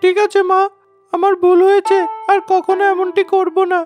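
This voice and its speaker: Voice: very high voice, little pitch movement, normal speed, a little mellow, soft background music. A performer voicing a small, obedient village boy in a cartoon with a childlike voice. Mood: apologetic.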